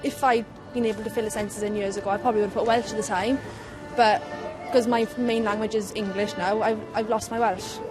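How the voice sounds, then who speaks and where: A woman speaking rapidly and casually in a street interview. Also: medium pitch, steady tone, over music, poor audio.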